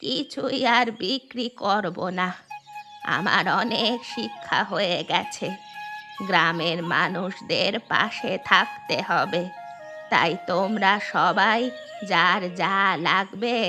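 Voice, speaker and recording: A woman acts out an elderly village grandmother for a cartoon fable. She is gruff yet repentant and generous, with a slow, drawn-out delivery. Music is clearly heard behind the voice. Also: mid-range voice, lightly acted. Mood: remorseful. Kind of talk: Bengali moral-story cartoon dubbing. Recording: loud music under the voice.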